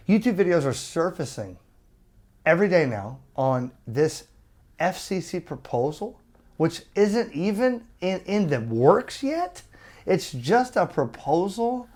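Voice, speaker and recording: Emphatic man ranting, swinging pitch. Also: a little mellow. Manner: emphatic, exasperated, blunt. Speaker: ham radio YouTuber. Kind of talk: opinionated rant to viewers.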